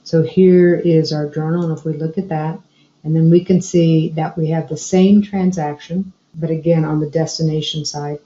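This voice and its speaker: Low-pitched woman, software tutorial, roomy sound. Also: steady tone, moderate pace, dull audio. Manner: explanatory, step-by-step. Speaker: software trainer. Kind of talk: screencast tutorial narration. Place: indoor room, screen recording voice-over.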